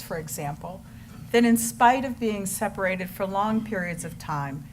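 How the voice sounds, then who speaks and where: A woman's voice: mid-range voice, slight background noise. A woman reading scripted narration aloud as a readers theater narrator.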